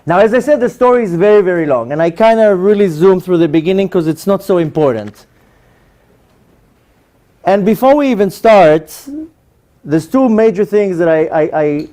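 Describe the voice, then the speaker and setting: Casting: Israeli male lecturer, 40s, native Hebrew speaker, high soft-edged voice, informal storyteller addressing an audience. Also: animated, moderate pace. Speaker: religious lecturer, rabbi. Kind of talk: personal testimony lecture to an audience.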